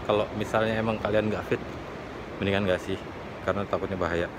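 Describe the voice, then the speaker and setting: A young man vlogging casually outdoors, chatty and excited, filmed on a handheld camera. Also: on the low side, fast, heavy background noise. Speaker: travel vlogger. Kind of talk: casual travel vlog. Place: outdoors on a cliffside trail and beach. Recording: loud music under the voice.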